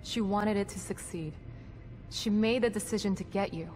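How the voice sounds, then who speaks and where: An actress playing a composed, caring and brave team psychologist in a video game, explaining things in a reassuring manner. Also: female voice, mid-range voice, moderate pace, a little mellow, natural voice. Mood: consoling. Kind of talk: dramatic video game acting. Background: music.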